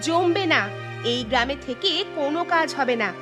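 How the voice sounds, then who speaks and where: A woman plays a short-tempered, scornful village wife in a cartoon. Her voice is high and insistent, always scolding. Music plays clearly underneath. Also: normal speed, close to an everyday voice. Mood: angry, demanding. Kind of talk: Bengali cartoon dubbing.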